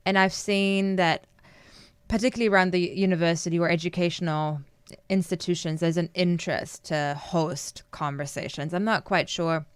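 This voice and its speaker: A woman's voice: on the low side, steady tone, moderate pace, smooth, dry recording. A woman from Iran, raised in Germany, speaking as an academic guest in an interview, thoughtful and often laughing as she explains. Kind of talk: casual interview conversation.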